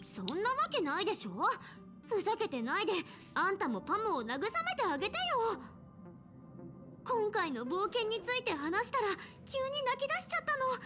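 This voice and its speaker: Cheeky anime teen girl, super high n bouncy, dramatic slangy chatter, game music. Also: big pitch swings, moderate pace. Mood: scolding, worried. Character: bubbly teenage girl adventurer, cheeky and dramatic.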